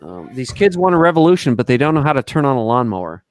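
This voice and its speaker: A man's voice: medium pitch, sing-song, fast. A man in his early forties chatting casually in a group, often repeating his own phrases. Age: early 40s.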